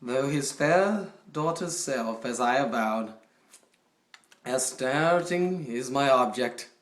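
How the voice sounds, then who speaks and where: A man playing a haughty, possessive, coldly jealous duke in a theatrical, deliberate dramatic poetry recitation. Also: medium pitch, expressive. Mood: menacing, forceful. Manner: theatrical, deliberate, swinging from hushed to forceful. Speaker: poetry reader. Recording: roomy echo, distant microphone.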